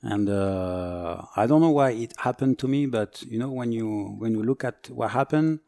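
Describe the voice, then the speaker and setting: A man in his forties from France with a French accent, a firefighter guest talking casually, halting and searching for words. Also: medium pitch, vocal fry, mellow, no echo. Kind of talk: casual interview chat.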